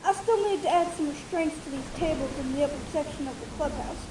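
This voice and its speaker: Woman voicing a very high-pitched young filly. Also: fast talker, dark tone, echoey room, natural voice, poor audio. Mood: cold, plotting. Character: young filly pony, cold schemer, later tormented. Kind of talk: fan fiction audio drama.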